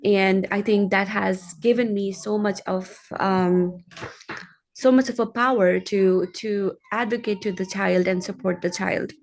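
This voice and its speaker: Creaky-voiced Nepali-accented woman giving a speech. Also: mid-range voice, steady tone, a little slow, rough recording. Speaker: guest speaker. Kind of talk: personal advocacy speech.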